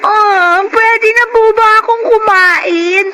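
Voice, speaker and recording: A woman's voice: extremely high pitch, steady tone, slow pace, bright, forceful tone, a little hiss or hum, heavily acted. A woman with a Filipino accent voicing a poor, hungry, affectionate young child in a radio drama. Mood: eager.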